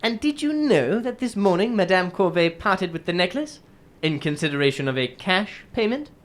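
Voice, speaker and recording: A woman playing a shrewd, composed English gentleman detective in a radio drama, telling his case in formal, measured phrases. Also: fairly low pitch, sing-song, slight background noise. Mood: polite, probing.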